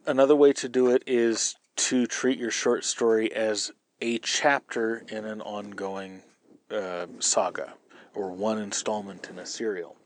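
A man's voice: fairly low pitch, fairly even intonation, measured pace, no room sound. A man hosting a podcast, explaining writing craft in an informal, colloquial way. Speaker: podcast host and novelist. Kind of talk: casual writing advice podcast.

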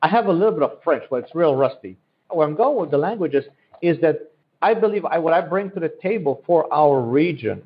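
A man from Central Europe, a native Polish speaker, giving earnest, promotional answers in a podcast interview and often repeating his phrases. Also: a little high. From Central Europe, born in Poland, raised in Germany. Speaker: economic development guest. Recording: dull, lo-fi audio.

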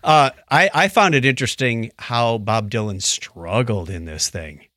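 A man's voice: medium pitch, unhurried, no echo, studio quality. A man co-hosting a podcast, chatting casually about shows and telling stories with acted-out quotes. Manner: animated storytelling with acted-out quotes. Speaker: podcast co-host.

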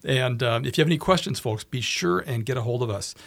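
Man, radio host, gravelly voice, upbeat, call-in show. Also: mid-range voice, lively intonation, fairly bright tone, no room sound. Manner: upbeat, reads phone numbers slowly.

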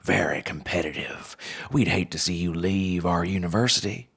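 A man's voice: deep voice, moderate pace, gravelly, a bit bright, breathy edge, heavily acted. A man voicing a condescending, persuasive male university advisor in a story, talking like an anchorman. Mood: smug, discouraging.

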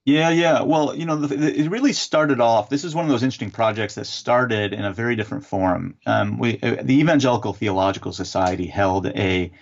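A man answering interview questions as an author and theologian, explaining in a measured, teacherly way with some fillers. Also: mid-range voice, muffled recording.

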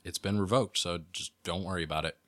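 A man's voice: deep voice, fairly even intonation, fast, a bit bright. A man co-hosting a podcast, reading quiz questions aloud and chatting casually in an easygoing way. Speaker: podcast co-host, quizmaster.

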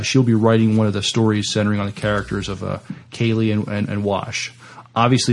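A man co-hosting a podcast, giving casual opinions and news in a hesitant, halting delivery. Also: on the low side, vocal fry. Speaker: podcast co-host. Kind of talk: casual discussion and reading of sci-fi news.

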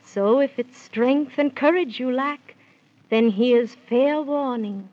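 A woman's voice: high voice, takes it slowly. A woman playing a regal, benevolent fairy queen who speaks in stately rhyme in a 1930s children's radio drama. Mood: solemn warning. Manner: stately, incantatory rhymed delivery. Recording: dull 1930s radio recording.

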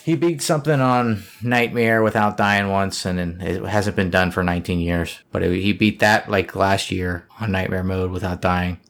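A man's voice: sits low, a bit bright. A man in casual gaming chat, explaining things in an informal, rambling, enthusiastic way.

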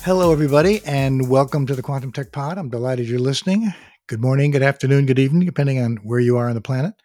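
A man's voice: medium pitch, fast talker, mellow, faint music behind. A man hosting a podcast, reading a polished guest introduction and asking interview questions. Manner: polished, reads guest bio. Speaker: podcast host.

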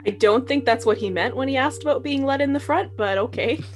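A woman's voice: high-pitched, fairly quick. A woman hosting and narrating a comedic podcast reading, with wry explanatory asides.

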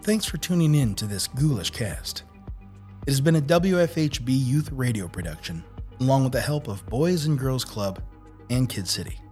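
A man's voice: medium pitch, lively intonation, normal speed, a little mellow, soft background music. A man reading a spooky scripted sign-off as a radio announcer. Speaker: announcer signing off a radio show.

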